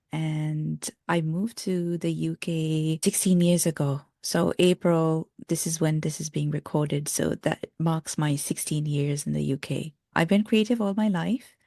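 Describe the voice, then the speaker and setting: A woman in her thirties with a Pakistani accent tells her story as a podcast guest. English is not her first language, and she is friendly and laughs easily. Her voice is low, mellow and gravelly, recorded close and dry. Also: no room sound. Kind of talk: podcast interview.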